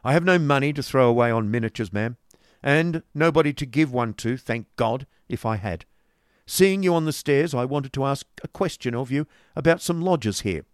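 A male reader playing a blunt, hard, stern gentleman who speaks forcefully and curtly. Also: medium pitch, lively intonation, moderate pace, no room sound, studio quality, voice pushed up, natural voice. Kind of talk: audiobook character voice.

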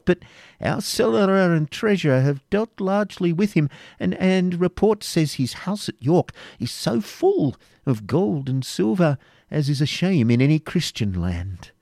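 A man's voice: high voice, a little mellow, no room sound, studio quality, full character voice. A man voicing a pompous, indignant medieval prior who blusters scornfully and stammers when outraged.

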